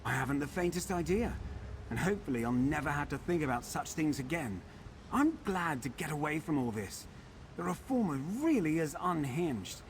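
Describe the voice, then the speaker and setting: An actor playing a fussy, pampered defector in a video game, wry and chatty with a faintly aggrieved manner. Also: male voice, fairly high pitch, very animated, normal speed, slight background noise, rough recording. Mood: disdainful, relieved. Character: fussy pampered defector from a wizard house, put-upon. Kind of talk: video game character dialogue.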